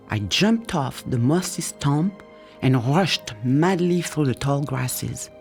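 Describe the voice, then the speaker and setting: A woman reading literary audiobook narration in an expressive, unhurried storytelling manner, as an author presenting her own book. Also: sits low. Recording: music under the voice. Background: music.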